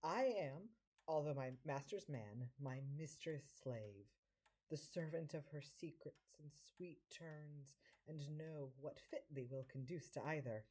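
Woman doing sly devil servant in an old comedy audio play, raspy low voice, flattering and theatrical. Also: a little slow. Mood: fawning. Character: impish devil posing as fawning, scheming manservant. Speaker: amateur actor. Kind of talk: early modern stage comedy acting in an audio drama.

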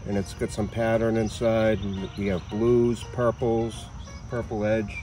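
A man's voice: lowish voice, flat delivery, unhurried, warm tone, faint music behind. A middle-aged man with a Massachusetts accent, talking enthusiastically about flowers in a sunny garden. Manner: enthusiastic, easygoing, chuckles often. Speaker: garden visitor. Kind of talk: casual interview about flowers.

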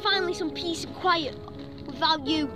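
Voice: extremely high pitch, normal speed, over music. A puppeteer dramatically acting out several characters in an improvised puppet show.

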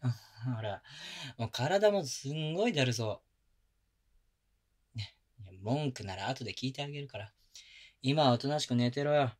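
A man playing a gentle, doting young boyfriend in an intimate ASMR audio drama, speaking casually with tender, coaxing chiding. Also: medium pitch, lively intonation, normal speed, pauses often, natural voice. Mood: gently firm. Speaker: voice actor. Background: music.

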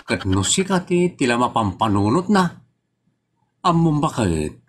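A man's voice: mid-range voice, very animated, unhurried, a little hiss or hum. A man playing a worried young husband in a comedy drama, questioning insistently with an Ilocano accent.

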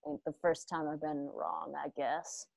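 Woman acting a slow, menacing Western avenger. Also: low voice, flat delivery, creaky, no echo. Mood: sadistic, mocking. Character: vengeful, scarred, sadistic Western gunslinger woman with a claw. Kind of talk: Western radio play acting. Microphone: home video call.

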